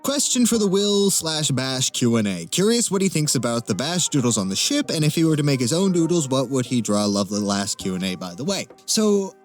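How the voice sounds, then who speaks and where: A man's voice: medium pitch, sing-song, a little fast, hardly any pauses, soft background music. A man chatting casually as a Q&A guest, talking in a hesitant, wry and self-deprecating way. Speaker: interview guest, amateur voice actor. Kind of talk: casual Q&A chat.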